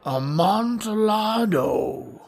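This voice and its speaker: A man's voice: sits high, expressive, very slow, creaky, a little mellow, some chatter behind, voice pushed up, full character voice. A man voicing a tipsy, vain wine connoisseur at carnival, insistent, boastful and exclamatory, in a radio horror drama. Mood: astonished. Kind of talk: old-time radio horror drama acting.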